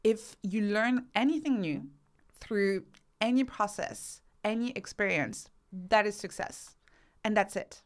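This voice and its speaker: A woman's voice: medium pitch, lively intonation, unhurried. Woman explaining ideas in an interview. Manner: instructive, uses rhetorical questions and acted-out examples. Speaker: podcast guest, researcher and author.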